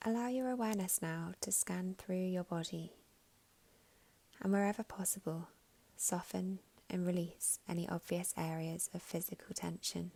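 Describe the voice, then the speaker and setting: Low, soft, creaky woman guiding meditation. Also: steady tone. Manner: soft, soothing, unhurried with long pauses. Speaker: meditation guide. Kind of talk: guided meditation narration.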